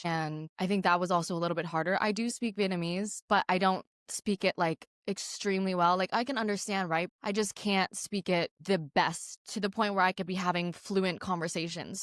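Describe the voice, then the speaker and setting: A woman's voice: medium pitch, dry recording, clean studio sound. A young woman from Canada hosting a podcast, telling a personal story in a candid way, with lots of "like" fillers. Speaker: podcast host.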